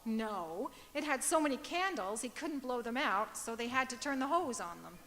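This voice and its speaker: A woman playing a wry, sharp-tongued wife in an old-time radio sitcom. Her voice is bright and highish, with lively, emphatic swings, ringing through a big auditorium. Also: moderate pace. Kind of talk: old-time radio comedy acting on stage. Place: stage of a performing arts auditorium. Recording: echoey auditorium with long reverb.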